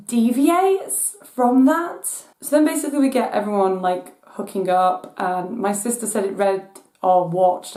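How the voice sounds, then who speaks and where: A woman hosting her own channel, hoarse from losing her voice, ranting in exasperation as her voice cracks high now and then. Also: a little mellow, roomy sound. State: hoarse from voice loss. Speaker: channel host. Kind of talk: casual rant vlog.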